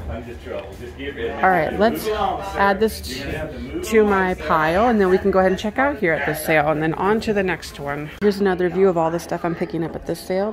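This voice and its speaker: Low-voiced woman with creaky fry. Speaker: vlogger, antiques reseller. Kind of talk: casual shopping commentary, talking to camera while browsing. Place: house and garage at estate sales. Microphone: handheld phone or camera.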